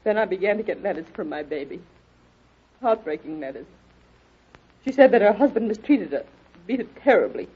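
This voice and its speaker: A woman's voice: medium pitch, animated, a little fast, pauses often, breathy edge. A woman playing a distraught, vengeful widowed mother with melodramatic delivery in a radio drama. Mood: grieving. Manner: wailing, melodramatic. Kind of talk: radio detective drama acting. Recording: dull, lo-fi radio drama recording.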